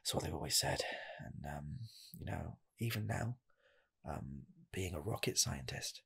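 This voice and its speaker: A man playing an earnest rocket scientist applying for a fast food job, in a soft, half-whispered ASMR roleplay voice that rambles and restarts. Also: sits low, lively intonation, creaky, slightly rough, full character voice. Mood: torn. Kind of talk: ASMR personal attention roleplay.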